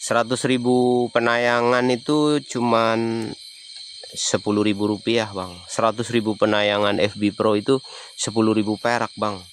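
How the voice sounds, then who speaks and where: Man with a Javanese accent, low voice, relaxed streamer chatting to viewers, faint night ambience. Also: a little slow, slight background noise. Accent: Javanese (Banyumas). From Banyumas, Central Java. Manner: chatty, answers viewer comments. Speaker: livestreaming explorer. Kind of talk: casual livestream chat.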